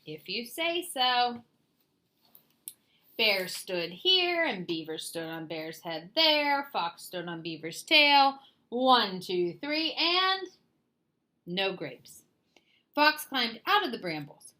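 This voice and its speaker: A bright-voiced woman tells a children's story with very animated pitch. She reads slowly and theatrically, like a picture-book read-aloud for young listeners. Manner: theatrical, deliberate.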